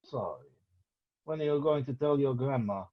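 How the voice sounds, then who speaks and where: A man's voice: medium pitch, normal speed, lightly acted. A man reading the part of a scruffy, teasing, reckless 28-year-old boyfriend in an amateur script table read. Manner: flippant, needling. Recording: dull lo-fi recording.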